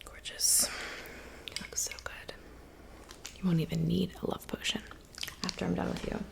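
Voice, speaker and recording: A woman speaking in a soft, breathy whisper, gently reassuring the listener in an ASMR roleplay. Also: lowish voice, little pitch movement, normal speed. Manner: gentle, reassuring, attentive personal-attention talk. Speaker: ASMR performer. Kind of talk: whispered ASMR roleplay as a witch doing hair, makeup and potions.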